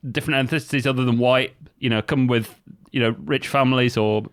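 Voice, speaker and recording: A man's voice: medium pitch, steady tone, fairly quick, no echo, clean studio sound. A man co-hosting a podcast, explaining things in an easygoing way with lots of fillers. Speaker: podcast co-host. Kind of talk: casual chat.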